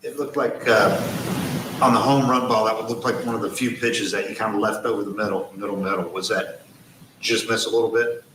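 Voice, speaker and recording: A man as a reporter asking casual, loosely phrased questions after a game. The room is echoey, and background noise is clearly heard. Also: lowish voice, fairly even intonation, brisk. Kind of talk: postgame interview questions.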